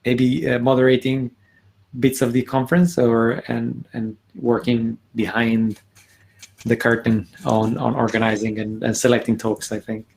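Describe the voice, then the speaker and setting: Gravelly, flat-voiced man, halting. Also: medium pitch, poor audio. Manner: halting, many "uh" and "um" fillers. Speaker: conference organizer and video creator. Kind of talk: casual self-introduction. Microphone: computer mic on a video call.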